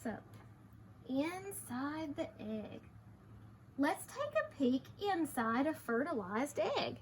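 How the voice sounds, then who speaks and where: A woman teacher reading aloud to young children, expressive, with simple explanations along the way. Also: high-pitched, slow, slight background noise.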